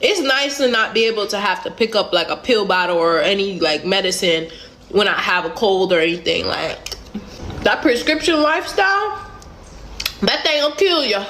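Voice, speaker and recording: A young woman chatting casually to camera in a relaxed way, filling her talk with "like" and "you know". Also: mid-range voice, moderate pace, slight background noise. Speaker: vlogger.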